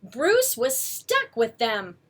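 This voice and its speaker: Female children's storyteller, highish voice, very lively intonation, picture-book read-aloud, coaxing asides. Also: slow pace. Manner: expressive, coaxing asides to young listeners.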